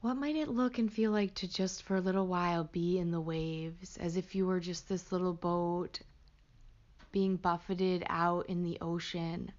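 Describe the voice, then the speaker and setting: A woman leading a guided meditation as a teacher, gentle and hushed, with pauses between short phrases. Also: on the low side, steady tone, muffled recording.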